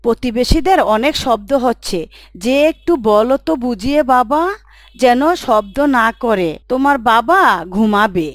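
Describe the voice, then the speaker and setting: A woman voicing a kind, affectionate mother who speaks softly and coaxingly in a cartoon. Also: a little high, animated, normal speed, dry recording, natural voice. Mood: caring. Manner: soft and coaxing.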